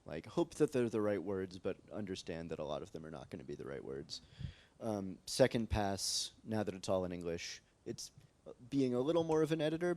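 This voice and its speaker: An American man speaking as a translator on a panel, relaxed and rambling, with many fillers and restarts. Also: low-pitched. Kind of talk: casual panel discussion. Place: library event space with live audience.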